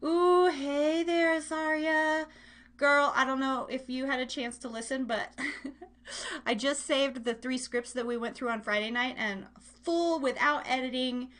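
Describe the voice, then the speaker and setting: A woman with a fairly high voice hosts a live internet radio show. She talks in a casual, chatty, slangy way, addressing her listeners directly as she runs games and banters with the chat. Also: fairly even intonation, measured pace, a bit bright.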